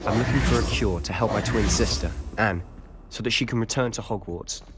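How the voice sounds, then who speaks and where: A man's voice: lowish voice, a little fast, natural voice. A man with a British accent playing a cocky, self-assured teenage wizard schoolboy in a fantasy video game. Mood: earnest. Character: cocky, loyal teenage wizard schoolboy, sly rule-breaker. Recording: music behind the voice.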